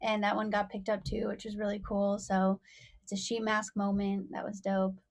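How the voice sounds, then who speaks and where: A woman's voice: medium pitch, monotone, moderate pace. A young woman, a rapper and songwriter, talking casually as an interview guest, bubbly and full of "like" and "you know.".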